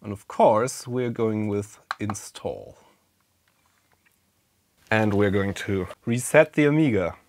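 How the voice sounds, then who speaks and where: A German man with a fairly low voice and German as his first language, talking through a hands-on computer repair in a relaxed, casual way. He thinks aloud as he works, dropping plenty of "uh"s and small asides. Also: slow pace, long pauses, a little hiss or hum. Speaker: retro computing video host. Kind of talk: casual hands-on tech walkthrough.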